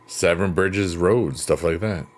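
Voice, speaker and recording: A man in his fifties with a deep bass voice, casually chatting with viewers as a livestream host in a relaxed, friendly, unhurried way. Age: 50s. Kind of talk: casual chat reading viewer comments. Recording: clear piano music behind. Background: chill piano music.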